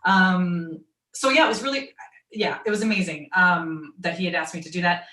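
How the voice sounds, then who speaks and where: Midwestern woman, low voice, chatty storytelling over a video call, sounds roomy and kinda far from the mic. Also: normal speed, pushed and bright. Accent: American Midwestern. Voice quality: naturally low voice. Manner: chatty storytelling, quoting others in asides. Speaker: guest voice actor and writer. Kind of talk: online fan Q and A.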